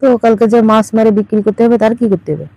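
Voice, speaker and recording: A woman's voice: fairly high pitch, racing, gravelly, mellow, dry recording. A woman with a Bangladeshi accent playing a teasing, demanding older aunt in an improvised phone-call drama. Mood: needling, matter-of-fact. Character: teasing, demanding older aunt who owns a fish farm.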